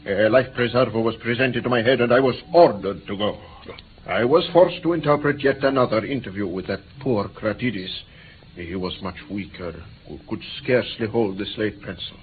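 Actor as earnest, shaken interpreter, tinny radio sound. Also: male voice, fairly low pitch, normal speed, close to an everyday voice. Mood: weary, grave. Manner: earnest, hesitant, storytelling. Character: Mr Milas, male interpreter, shaken, earnest kidnap survivor. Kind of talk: vintage radio drama acting. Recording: tinny, noisy vintage radio recording.

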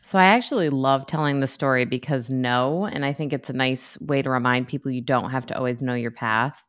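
A woman, a psychologist co-hosting a podcast, chatting in a relaxed, rambling way with many fillers. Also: rumbling low, brisk, no room sound, studio quality. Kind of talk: casual podcast chat.

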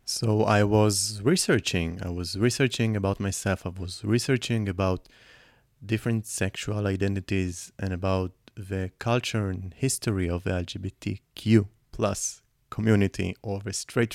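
A young man hosts his own podcast, speaking in an earnest, reflective way about his life. His voice sits low with a gravelly edge. The sound is dry and close, with no room echo. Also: fairly even intonation, normal speed, a little mellow. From Israel. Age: 20s. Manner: earnest, reflective, personal storytelling. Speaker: podcast host. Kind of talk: personal podcast monologue.

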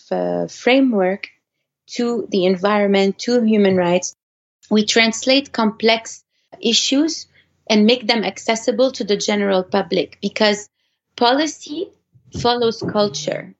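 Female interview guest, native French speaker, conversational explainer, mid-range voice, muffled lo-fi audio. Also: mid-range voice. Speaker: interview guest, activist technologist.

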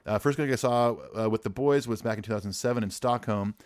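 A man's voice: mid-range voice, fast talker, no room sound. A man co-hosting a podcast, chatting in an easygoing, agreeable way and reading listener emails aloud. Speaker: podcast co-host and musician.

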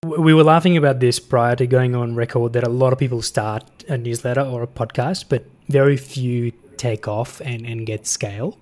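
A man's voice: medium pitch, fairly even intonation, moderate pace, few pauses, a little mellow. A man hosting an interview, asking questions in a conversational way. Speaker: interviewer, host.

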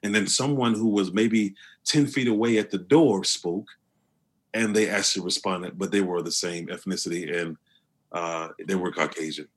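Husky deep-voiced man, earnest. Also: normal speed. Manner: earnest, with many restarts and fillers. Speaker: guest. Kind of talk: conversational interview.

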